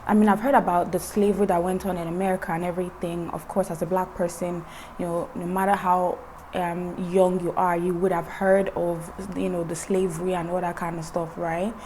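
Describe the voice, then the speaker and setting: A woman's voice: fairly low pitch, flat delivery, brisk, a little mellow, a little hiss or hum, poor audio. A young woman in her twenties who grew up in Ireland, vlogging a personal story to camera with an earnest manner, many fillers and restarts.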